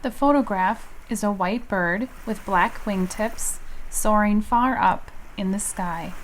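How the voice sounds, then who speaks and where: A woman reading audio descriptions of photographs in an even, matter-of-fact way. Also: mid-range voice, takes it slowly, slight background noise, low-quality recording. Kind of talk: plain descriptive narration of photographs.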